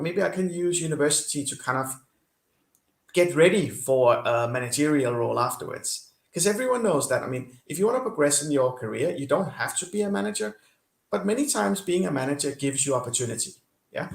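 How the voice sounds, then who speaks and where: A man's voice: on the high side, lively intonation, fairly quick, gravelly, echoey room. A man from Kenya with an accent shaped by Denmark, speaking earnestly as an interview guest with many fillers and restarts. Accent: Danish-influenced. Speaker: guest, company CEO. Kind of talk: interview over video call.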